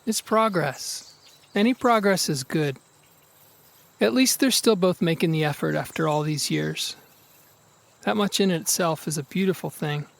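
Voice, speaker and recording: A man's voice: on the high side, measured pace, gravelly, pauses often. A man acting a reassuring, reflective and sentimental brother in a naturalistic audio drama.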